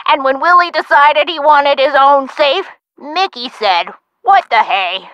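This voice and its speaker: A woman playing a feisty, sharp-tongued elderly woman who tells theatrical stories of her youth in a video game. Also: high-pitched, animated, measured pace, dry recording, muffled recording, exaggerated voice. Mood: chatty, reminiscing. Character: feisty, sassy elderly woman, nostalgic for the twenties. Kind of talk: video game character acting.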